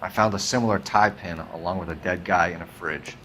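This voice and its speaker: A man with an American accent playing a serious, methodical police detective in a video game, terse and deliberate. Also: low voice, steady tone, a bit bright, slight background noise.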